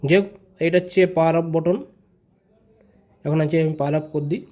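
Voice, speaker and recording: Fast, high-pitched man from India. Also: fairly even intonation, mellow. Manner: informal, repeats phrases, addresses viewers directly. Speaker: vlogger presenting a tutorial. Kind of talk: casual step-by-step product tutorial.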